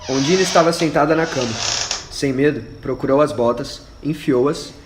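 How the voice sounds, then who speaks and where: A man's voice: mid-range voice, normal speed, music in the background. A man narrating a scripted radio drama as its storyteller. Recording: echoey room with faint noise.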